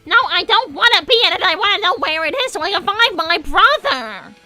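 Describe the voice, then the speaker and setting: Young female cartoon hedgehog: sweet but indignant, chatty, very high girlish register, lively swings. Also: a little fast, faint music behind, heavily acted. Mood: insistent. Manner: animated, indignant, dramatic. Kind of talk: improvised in-character livestream banter, reading viewer messages.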